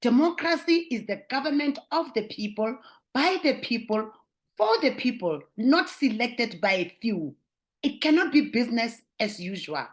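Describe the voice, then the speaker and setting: Nigerian female commentator, fairly high pitch, insistent, repetitive emphasis, addressing viewers. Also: expressive, normal speed. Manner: insistent, repeats points for emphasis. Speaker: video blogger commentator.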